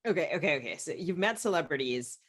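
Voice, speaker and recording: A woman with a low-pitched voice hosts a literary interview podcast. She talks conversationally, moving between chat and framing questions. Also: animated, fast, fairly bright tone, husky. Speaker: podcast host.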